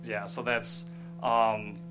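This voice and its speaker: A man being interviewed as a screenwriter, talking casually and thoughtfully, often pausing to search for words. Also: fairly low pitch, level tone, music in the background.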